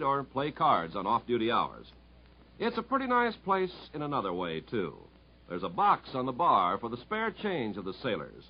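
A man's voice: mid-range voice, slightly rough. A man reading a formal, earnest scripted announcement in the manner of 1950s radio. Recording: muffled 1950s radio broadcast.

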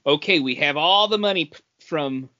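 A man's voice: a little high, normal speed, sharp tone. A man co-hosting a podcast, explaining his view in casual chat. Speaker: podcast co-host. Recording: dull, dry lo-fi audio.

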